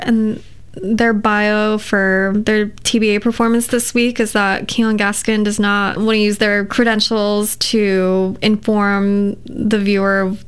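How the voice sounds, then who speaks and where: A woman, a poet, talking in a relaxed interview and choosing her words thoughtfully, with many fillers. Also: medium pitch, clear, resonant tone. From United States. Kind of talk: casual interview conversation.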